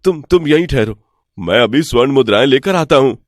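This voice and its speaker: Man playing an excitable, gullible village priest. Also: medium pitch, fairly quick, no echo, close to an everyday voice. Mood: eager, confiding. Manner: excitable, credulous, questioning. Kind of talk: animated Hindi folk tale voice acting.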